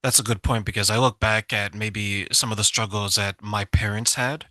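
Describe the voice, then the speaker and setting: A man's voice: lowish voice, fairly even intonation, normal speed, sharp tone, dry recording. A man hosting an interview, asking friendly, reflective questions. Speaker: show host. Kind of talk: interview conversation.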